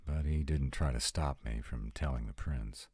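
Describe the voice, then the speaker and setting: A man's voice: very deep voice, normal speed, warm tone, husky, no room sound, studio quality. A man playing a troubled young sarcastic vampire, confiding casually and with some swearing and hesitation in an intimate audio roleplay. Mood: uneasy, confiding.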